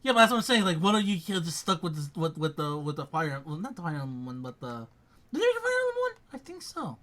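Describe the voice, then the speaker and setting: Woman, animated intonation, fast speech, halting repeats, casual chat, lo-fi stream audio. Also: low-pitched.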